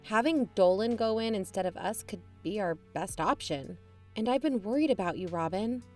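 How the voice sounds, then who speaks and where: Audio drama role: guarded, practical female investigator, played by an actress, medium pitch, naturalistic delivery, music bed. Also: moderate pace, a little mellow, natural voice. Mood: earnest, firm. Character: pragmatic, guarded woman, an amateur investigator.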